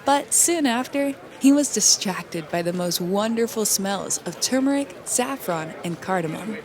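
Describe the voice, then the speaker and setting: A woman's voice: mid-range voice, lively intonation, unhurried, a crowd in the background. A woman narrating a children's storybook as a read-aloud. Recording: clearly noisy background.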